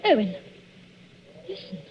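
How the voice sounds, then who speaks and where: An actress playing a guarded, uneasy young wife in a radio horror drama, clipped and defensive in manner. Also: female voice, slow, music in the background, natural voice. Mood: nervous. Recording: dull, muffled vintage radio recording.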